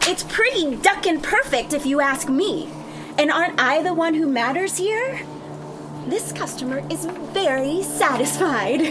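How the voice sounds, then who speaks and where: A woman playing a brash, sassy, proud plus-sized customer, theatrical and sharp-tongued, in a screenplay table read. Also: sits high, lively intonation, measured pace, music in the background. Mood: offended, self-satisfied.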